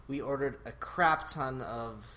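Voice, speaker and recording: A man speaking as a guest in a casual podcast chat, with a quiet, soft voice. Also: medium pitch, unhurried.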